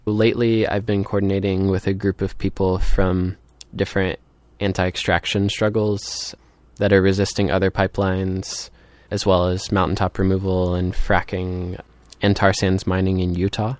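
A man's voice: sits low, little pitch movement, moderate pace, dry recording. A man speaking informally as an activist in an interview, thinking aloud and peppering his sentences with "like". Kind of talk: casual spoken interview.

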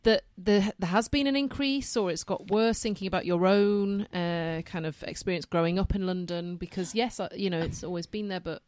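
A woman's voice: on the low side, moderate pace, hardly any pauses, darkish tone, dry recording. A woman from Yorkshire with a light Yorkshire accent, co-hosting a casual chat in a playful, rambling way with many fillers. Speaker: podcast co-host, social worker.

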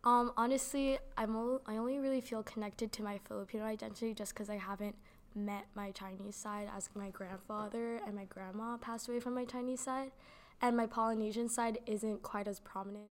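A woman's voice: on the high side, steady tone, brisk. A young woman giving casual interview answers in a chatty way, with lots of "like" fillers. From Canada. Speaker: interviewee, actor.

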